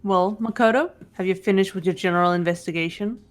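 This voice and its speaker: A mid-pitched female voice from a woman playing a part as a refined young detective in a mystery game. She is measured, cool and matter-of-fact, quietly in command. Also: lightly acted. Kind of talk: fan voice-over of visual novel game.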